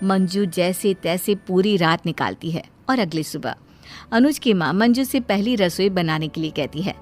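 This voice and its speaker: A woman's voice: lowish voice, normal speed, a little mellow, faint music behind. A woman storyteller reading scripted narration for a cartoon bedtime story.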